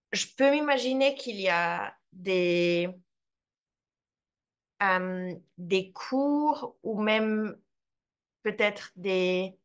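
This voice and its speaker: A woman presenter answering questions in an online talk, slow and careful, searching for words. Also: mid-range voice, clean tone. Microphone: computer mic on a video call.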